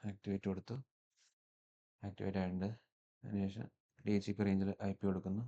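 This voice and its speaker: A Malayali man presents a hands-on tutorial in a fairly low voice. His intonation stays very flat. He sounds matter-of-fact and unscripted as he moves from one step to the next. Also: fast talker, highs cut off. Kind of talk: hands-on technical walkthrough, unscripted.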